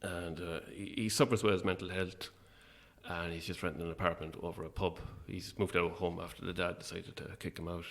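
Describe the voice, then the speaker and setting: A man's voice: sits low, little pitch movement, fairly quick, creaky. A man chatting casually as an interview guest about his own creative work, in a hesitant, reflective way. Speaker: playwright and actor, interview guest.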